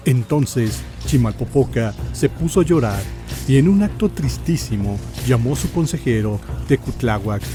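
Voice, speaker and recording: A man's voice: mid-range voice, animated, moderate pace, over music. A man with a Mexican accent reading scripted historical narration in a solemn, theatrical, literary manner.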